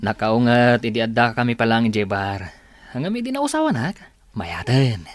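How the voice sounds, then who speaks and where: A man's voice: medium pitch, very animated, moderate pace, no room sound, natural voice. A man with a Filipino accent playing a cocky, dismissive young boyfriend in a radio drama. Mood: smug.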